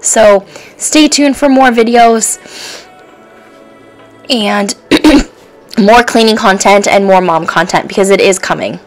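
A woman's voice: medium pitch, takes it slowly, long pauses, soft background music. A woman in her thirties, a stay-at-home mom, chatting casually and encouragingly in a voice-over with plenty of ums.

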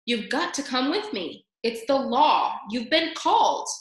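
Storybook role: pushy big-brother mouse done by a woman, blunt, scolding, pitched up, in a roomy space. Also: animated, a little slow. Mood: exasperated, scolding. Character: bossy, exasperated male mouse, scolding older brother.

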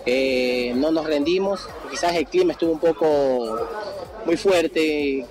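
A man's voice: fairly high pitch, slow pace. A man, giving a post-match interview as a player. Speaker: runner-up football player. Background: clear music.

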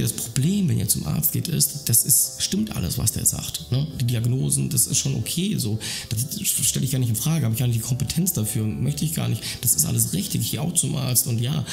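A man in his thirties with a deeply gravelly, fry-heavy voice talks straight to the camera from a dim room at home. He speaks earnestly, circling back with restarts and fillers as he explains. Faint music plays quietly underneath. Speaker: YouTuber talking about mental health. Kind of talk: casual explanatory monologue to camera.

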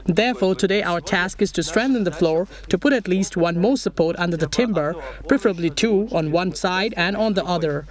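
A man's voice: high voice, brisk, few pauses. A young man explaining a building project step by step in a casual outdoor vlog in a forest. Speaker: vlogger building a treehouse.

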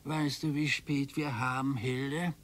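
An actor playing a bored, complaining retired husband in his sixties in a radio play, with a slightly husky, slow, whiny way of talking. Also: medium pitch, level tone, fairly bright tone. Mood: fretful. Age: 60s.

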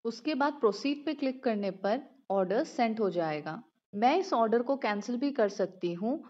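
High-voiced woman explaining app steps. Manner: steady, explanatory, step-by-step walkthrough. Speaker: finance channel host. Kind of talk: scripted explainer narration. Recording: roomy, slightly dull home recording.

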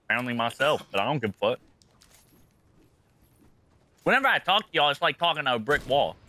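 A man's voice: medium pitch, big pitch swings, brisk, pushed and bright. A young man chatting over voice chat during a game, rambling in a goofy way, half to himself. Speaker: friend in a game party. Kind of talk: casual gaming chat.

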